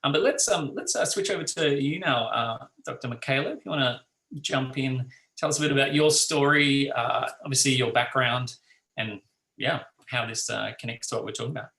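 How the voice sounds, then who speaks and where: Gravelly man, distant in an echoey room. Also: mid-range voice, brisk, bright, forceful tone. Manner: rambling, filler-heavy. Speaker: host. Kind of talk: introducing a talk.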